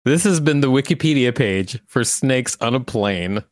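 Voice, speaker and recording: A man's voice: mid-range voice, lively intonation, normal speed, no room sound. A man co-hosting a podcast, reading an article aloud and adding casual, opinionated banter. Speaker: podcast co-host.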